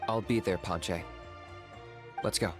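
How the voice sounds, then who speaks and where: Blunt straight-faced young guy, low voice, dry, music under it. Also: slow. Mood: deadpan. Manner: dry, matter-of-fact. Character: calm, blunt young man, practical. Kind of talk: game cutscene voice acting. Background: game score music.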